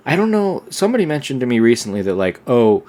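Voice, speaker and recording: A young man co-hosting a podcast, talking casually in a relaxed, rambling way with lots of fillers and restarts. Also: mid-range voice, fast. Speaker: podcast co-host. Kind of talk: casual conversation.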